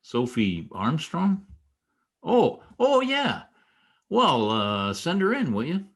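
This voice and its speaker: A man playing a vain newspaper columnist in an old-time radio comedy, blustering and easily flustered by flattery. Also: on the high side, slow, exaggerated voice. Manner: animated, blustering, hesitant when flattered. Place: home, online video-call production.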